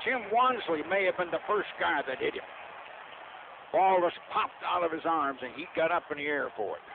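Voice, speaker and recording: A man with a fairly high voice calls football play-by-play in clipped, partisan fragments. The sound is a narrow, phone-like radio broadcast with clear noise behind him. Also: expressive, moderate pace. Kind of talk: live American football play-by-play commentary.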